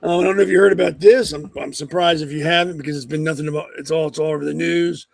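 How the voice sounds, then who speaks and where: A man hosting a political talk show, blunt and rambling with lots of ums, hoarse from a cold. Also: a little high, steady tone, fast talker, no echo. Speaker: podcast host. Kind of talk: opinionated political commentary.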